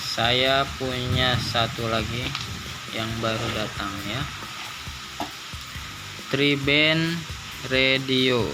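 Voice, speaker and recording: A man's voice: mid-range voice, fairly even intonation, drawn-out words, pauses often, low-quality recording. A man giving a casual unboxing commentary, informal and thinking aloud as he goes. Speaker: product reviewer. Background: music.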